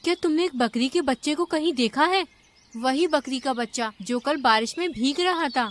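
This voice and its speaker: A woman voicing a caring, righteous female bird in an animated fable, speaking in an earnest, concerned way. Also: high voice, normal speed, natural voice. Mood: suspicious.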